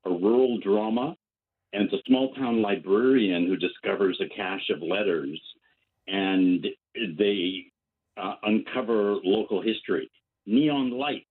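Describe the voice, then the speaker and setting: An older man calling in to a radio show, playful and joking as he talks. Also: lowish voice. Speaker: caller. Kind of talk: phone-in chat. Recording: tinny phone line.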